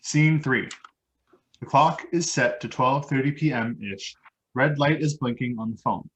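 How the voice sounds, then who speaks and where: A man's voice: medium pitch, a little slow, a bit bright, muffled recording, slight background noise. A man reading stage directions as scripted narration for a play.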